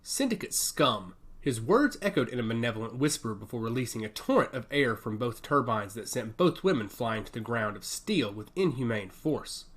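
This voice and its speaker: A man's voice: mid-range voice, animated, fairly quick, few pauses. A man narrating an action story as a scripted, dramatic audiobook.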